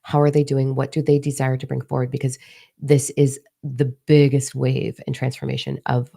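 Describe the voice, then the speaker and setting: A young woman with a hoarse, partly lost voice, giving a casual spiritual talk and confiding freely with plenty of swearing. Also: extremely low pitch, little pitch movement, normal speed, dark tone. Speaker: spiritual teacher.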